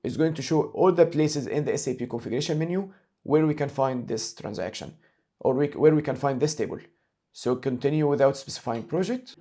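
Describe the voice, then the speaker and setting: A man narrating a screen-share software tutorial as an instructor, explaining each step methodically and repeating key points. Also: medium pitch.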